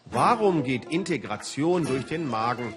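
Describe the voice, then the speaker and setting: A man's voice: mid-range voice, lively intonation, measured pace, music in the background. A man narrating a scripted television news report.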